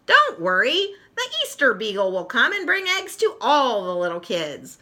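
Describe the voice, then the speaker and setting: A woman's voice: on the high side, huge pitch swings, normal speed, sharp tone, full character voice. A woman voicing an earnest, believing little storybook boy in an eager manner. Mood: reassuring.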